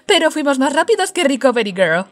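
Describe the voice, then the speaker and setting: A woman's voice: sits high, wildly swooping pitch, normal speed, fairly bright tone, faint music behind, exaggerated voice. A woman voicing a bubbly, breezy young woman in a cartoon fandub. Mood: cheerful. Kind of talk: fandub comic acting.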